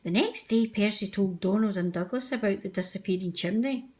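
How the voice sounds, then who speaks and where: A woman's voice: mid-range voice, a little fast, a little mellow. A woman narrating a children's storybook aloud. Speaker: storybook reader.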